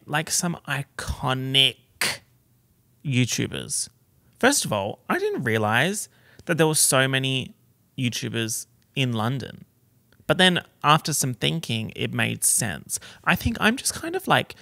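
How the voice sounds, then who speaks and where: A man's voice: mid-range voice, vocal fry, bright, forceful tone, no room sound. A young man hosting a casual solo podcast, telling stories in a campy, dramatic, slangy way. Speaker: podcast host.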